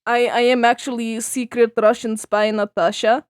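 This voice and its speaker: A woman's voice: fairly high pitch, level tone, smooth. A young woman from Estonia, chatting on a voice call with friends, stumbling through fillers and joking. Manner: halting, many fillers and restarts, joking. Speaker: podcast co-host. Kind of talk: casual chat among friends. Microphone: own mic on a voice call.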